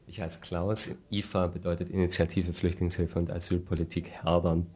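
Deep, flat-toned man explaining critically. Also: breathy edge. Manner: critical, dryly sardonic, deliberate. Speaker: refugee aid activist, interview guest. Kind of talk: spontaneous explanatory interview talk.

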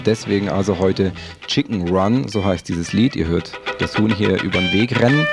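A man hosting a morning radio show, introducing segments in a casual, informal style. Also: fairly low pitch. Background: guitar music.

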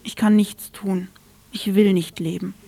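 A woman reading a scripted radio feature in a measured, sober manner. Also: medium pitch, steady tone, vocal fry, warm tone. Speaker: radio presenter. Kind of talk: scripted narration.

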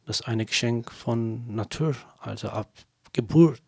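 A Kurdish singer whose first language is Kurdish, speaking hesitant, broken German with many fillers while being interviewed. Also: male voice, mid-range voice, creaky, husky, no room sound, studio quality. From Kurdish region of Turkey. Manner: halting non-native German with many fillers. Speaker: singer, guest. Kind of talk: interview answers.

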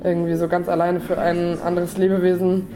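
A woman at a discussion café sharing a personal story, with many fillers and pauses. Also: fairly low pitch, monotone, over music. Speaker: café participant.

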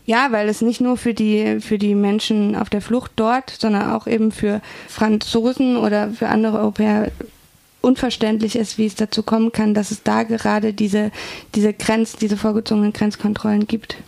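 A woman's voice: mid-range voice, level tone, moderate pace, gravelly, darkish tone, dry recording. A woman giving informal interview answers, thinking aloud and correcting herself as she explains. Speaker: interview guest reporting on refugee camps.

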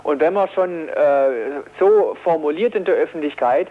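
Higher-voiced halting man, dull lo-fi audio. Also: expressive. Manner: halting, frequent hesitations and repeated words. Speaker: Green politician, media policy spokesman. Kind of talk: political radio interview, spontaneous answers. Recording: dull band-limited radio interview audio.

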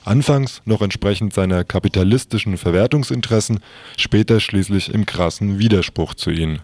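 Male radio presenter, lowish voice, close dry sound, scripted report delivery. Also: brisk, darkish tone, no echo.

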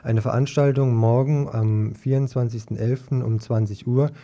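A man's voice: mid-range voice, steady tone, moderate pace, mellow. A man hosting a local radio interview, asking questions in a hesitant, searching way. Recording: muffled, dull lo-fi radio recording.

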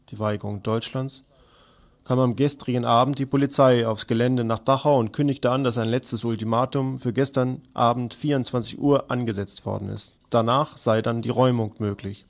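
Male radio reporter with middle-pitched voice. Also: a little mellow. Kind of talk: scripted news report.

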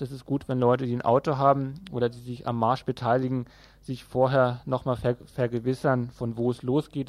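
A man's voice: mid-range voice, dry recording. A man presenting on radio, giving announcements in an informal way from a studio.

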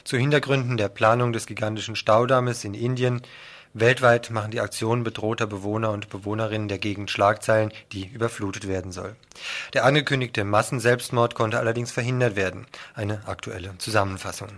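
A man's voice: on the low side, fairly even intonation, a little fast, a bit bright. A man presenting scripted news on the radio, reading out headlines and reports as the host.

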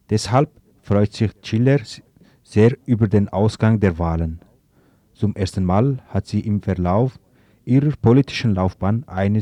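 A man's voice: on the low side, mellow, dry recording. A man reading a scripted political commentary on the radio, stumbling now and then over words.

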